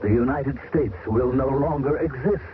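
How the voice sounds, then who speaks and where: An actor playing an Air Force officer who has turned into something else, speaking calmly and with quiet menace, in a 1970s radio drama. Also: male voice, medium pitch, moderate pace, lightly acted. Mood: eerie calm. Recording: tinny old radio broadcast, loud background noise.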